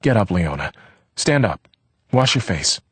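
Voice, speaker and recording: A man's voice: low-pitched, very animated, measured pace, husky, no echo, pitched above the performer's own voice, heavily acted. A man doing an exasperated, coaxing young man. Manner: urgent, coaxing. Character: exasperated young man trying to keep calm.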